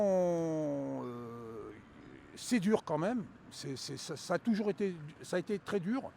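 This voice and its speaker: A man answering a street interview, halting and trailing off softly. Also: sits high. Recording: faint street noise.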